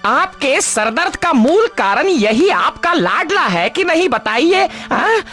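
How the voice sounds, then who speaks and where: A woman voicing a scheming, pompous astrologer sage in a cartoon mythological drama, theatrical, drawn-out and insistently repetitive. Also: sits high, very animated, a bit bright, heavily acted. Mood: insistent, sly. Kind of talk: animated mythological drama dub. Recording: background music under dialogue.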